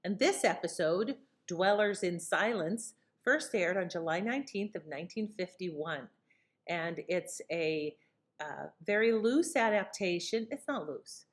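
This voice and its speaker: A woman's voice: on the low side, lively intonation, a little slow. A woman hosting with a casual, unscripted introduction, explaining informally and correcting herself as she goes. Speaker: host introducing old radio episodes.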